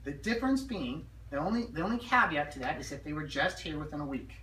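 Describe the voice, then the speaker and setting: A woman's voice: low voice, lively intonation, fast, fairly bright tone. A woman working as a consultant, training staff hands-on through role-play in a directive way, drilling phrases and often checking they understand. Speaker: dental practice consultant and trainer. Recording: roomy, distant mic with background noise.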